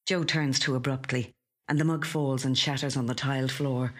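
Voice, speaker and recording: An actor with a slightly husky, breathy voice reading a literary short story in a measured, hushed way. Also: mid-range voice, fairly even intonation. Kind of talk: scripted literary narration.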